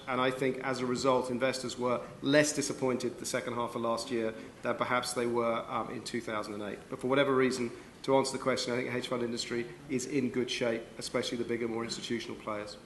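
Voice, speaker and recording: A man speaking as a panelist at a finance conference, with a loud voice and a measured, articulate way of talking. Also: mid-range voice, a little hiss or hum. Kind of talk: panel discussion.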